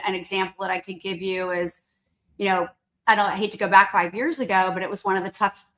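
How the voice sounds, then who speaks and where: A woman's voice: medium pitch, a bit bright. Woman, mid-range voice, interview guest, earnest, explanatory, conversational, executive speaking plainly. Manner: earnest, explanatory, often checks with "right?". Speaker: company CEO, podcast guest.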